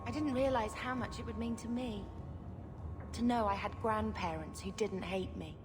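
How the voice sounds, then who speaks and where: An actress playing an earnest, wistful young mage in a video game, speaking sincerely and reflectively. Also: female voice, mid-range voice, normal speed, background noise, natural voice.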